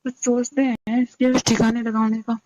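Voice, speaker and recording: Flat-toned woman on a phone call. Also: on the high side, normal speed, no echo. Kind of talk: casual phone conversation. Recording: dull lo-fi phone call recording.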